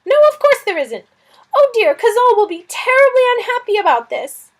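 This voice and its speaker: A woman's voice: very high-pitched, very animated, moderate pace, pitched above the performer's own voice. A woman voicing a fussy, flustered little male lizard who dithers and frets in an audiobook. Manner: twittering, easily fretting, dithering. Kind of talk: audiobook character voice.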